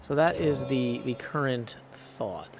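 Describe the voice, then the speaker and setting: A man's voice: mid-range voice, expressive, takes it slowly. A man streaming a video game, chatting casually and thinking aloud in a conversational way with his viewers. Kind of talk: casual livestream chat over gameplay. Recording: game music behind the voice. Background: video game music.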